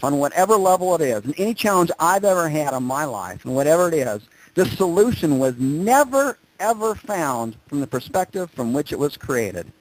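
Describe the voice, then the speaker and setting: A man speaking as a motivational presenter, telling personal stories and driving points home with emphatic repetition and dramatic pauses. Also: a little high, low-quality recording. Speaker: motivational speaker presenting on a group conference call. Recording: conference call audio.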